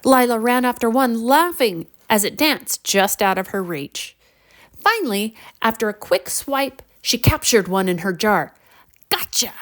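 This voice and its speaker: A woman narrating a children's bedtime story in an expressive, deliberate storybook manner. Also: fairly high pitch.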